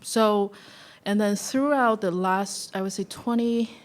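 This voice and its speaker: A woman talks casually and reflectively as a guest at a live event. Her voice sits at a middle pitch, and her delivery is chatty and conversational. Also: unhurried. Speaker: guest speaker, violinist and educator.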